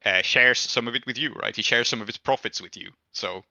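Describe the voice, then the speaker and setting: Male game master, casual and explanatory, very bright forceful voice on a dry, slightly dull recording. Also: medium pitch, brisk, gravelly, no room sound. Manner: explanatory, reasoning out rulings aloud. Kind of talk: casual talk running a tabletop game.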